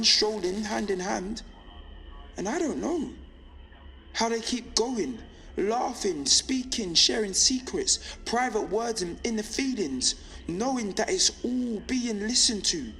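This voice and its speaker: An actor playing a defiant, lonely young male rebel on pirate radio in an audio drama, speaking intensely and deliberately in short rhythmic phrases. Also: high voice, bright, forceful tone, exaggerated voice. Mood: anguished, searching. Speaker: underground radio broadcaster. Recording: reverberant space with background noise.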